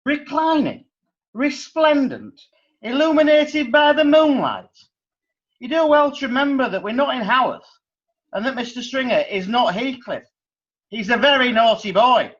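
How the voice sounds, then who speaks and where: Man doing sarcastic judge, scornful, high voice, dull lo-fi sound. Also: big pitch swings, moderate pace, fairly bright tone, full character voice. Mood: mocking. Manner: scornful, dry, mocking. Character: stern sarcastic male judge. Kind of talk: amateur radio drama.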